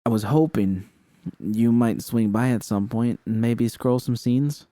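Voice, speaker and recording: A frank, caring young woman technician read by a man in an audiobook story. The delivery is slangy, halting and reassuring, in a mellow voice with a dry, close sound. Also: mid-range voice, dry recording, pitched above the performer's own voice, lightly acted. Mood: earnest, encouraging.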